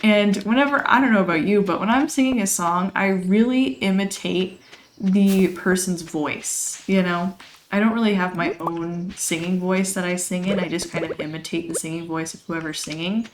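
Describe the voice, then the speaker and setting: A chatty woman, somewhat hoarse from losing her voice, rambling through casual gameplay commentary with frequent laughs. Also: fairly low pitch, normal speed, a little hiss or hum. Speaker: let's play host. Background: game music.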